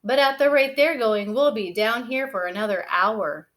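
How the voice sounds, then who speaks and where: A woman voicing a brisk, orderly mother bear who chides and instructs, in a children's picture-book read-aloud. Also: a little high, a bit bright, lightly acted. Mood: hushed, wry.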